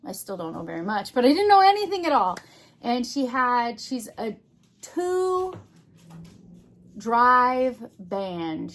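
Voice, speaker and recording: A woman giving an unscripted, casual hobby vlog, thinking aloud in short, halting phrases. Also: a little high. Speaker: craft vlogger.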